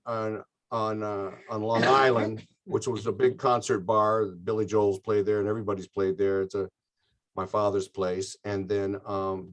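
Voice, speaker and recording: A man in his sixties, a guest drummer, talking in a casual, rambling, enthusiastic way about his music career. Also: mid-range voice. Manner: rambling, enthusiastic, laid-back storytelling. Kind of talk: casual interview talk.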